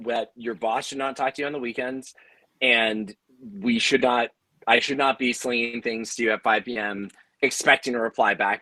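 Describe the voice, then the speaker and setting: A man's voice: medium pitch, a bit bright, no room sound, poor audio. A man speaking earnestly and conversationally on a panel, explaining how he leads his team. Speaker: audio drama company leader on a panel.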